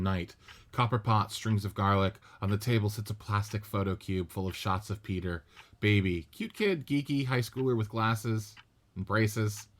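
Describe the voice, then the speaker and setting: A man narrating the stage directions of a script reading in an even, matter-of-fact way. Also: lowish voice.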